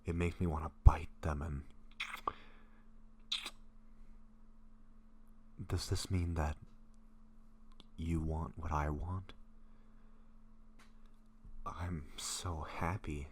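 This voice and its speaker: Male actor for lovestruck, gentle vampire in audio roleplay; rock-bottom pitch, halting breathy delivery, some noise behind the voice. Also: little pitch movement. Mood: tender, happy. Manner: hesitant, breathy murmurs, halting phrases. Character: gentle, apologetic lovestruck young male vampire, shyly hungry.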